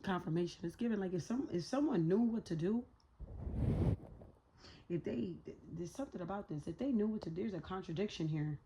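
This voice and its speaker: Hoarse, low-voiced woman reading tarot. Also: brisk, slight background noise, rough recording. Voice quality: raspy. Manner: slangy, profane, thinks out loud; talks to spirit while pulling cards. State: hoarse, voice a little shot. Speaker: tarot reader. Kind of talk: casual tarot reading to viewers.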